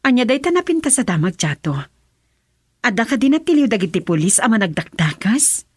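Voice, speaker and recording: Theatrical lady governor, sweeping pitch. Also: very animated, a little fast, darkish tone, slightly rough, no room sound, studio quality. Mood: inquisitive. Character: female governor and lady of the house, self-possessed. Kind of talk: Ilocano radio drama acting.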